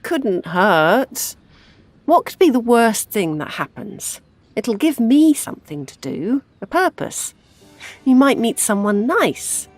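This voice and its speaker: An actress playing an older widowed mother in an audio drama, grieving yet gently scheming as a matchmaker. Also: on the high side, sing-song, takes it slowly, no echo, soft background music, heavily acted. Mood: brisk, nudging.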